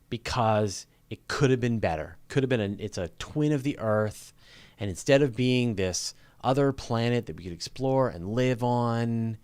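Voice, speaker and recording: A man's voice: mid-range voice, darkish tone, dry recording. A man hosting a casual live question-and-answer show, talking in an informal, joking, conversational way. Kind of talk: casual live Q&A chat with viewers.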